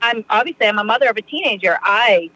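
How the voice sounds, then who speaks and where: A middle-aged woman from Massachusetts, a podcast guest rambling through a personal story with many fillers and quick laughs. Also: a little high, expressive, sharp tone, no echo, low-quality recording. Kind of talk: casual storytelling in an interview.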